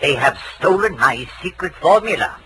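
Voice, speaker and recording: An actor playing an agitated, grandiose mad scientist inventor in a 1940s radio drama, on a noisy vintage broadcast. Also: male voice, a little high, animated, normal speed, sharp tone, faint music behind. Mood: indignant. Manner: agitated, grandiose, fussily learned. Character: excitable, wild-eyed eccentric mad scientist inventor.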